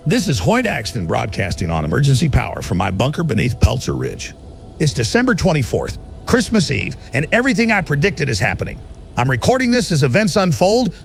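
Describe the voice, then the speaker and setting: An actor playing a paranoid, fervent conspiracy theorist delivering an urgent bunker broadcast in an audio drama, with a gravelly voice. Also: male voice, high voice, heavily acted. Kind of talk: audio drama monologue as pirate radio broadcast.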